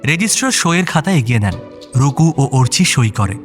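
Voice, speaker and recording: A man's voice: medium pitch, sing-song, a little fast, soft background music. A man narrating a scripted audio story.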